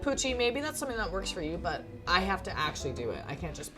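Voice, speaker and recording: Woman as an earnest witch's cat, lively intonation. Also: fairly low pitch, fast talker. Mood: sincere, mild. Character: earnest young pet cat, a witch's companion. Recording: music behind the voice.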